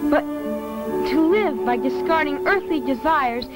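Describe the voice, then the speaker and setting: A woman playing an earnest, solemn young boy in a dubbed animated film. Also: high voice, takes it slowly. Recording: loud music under the voice.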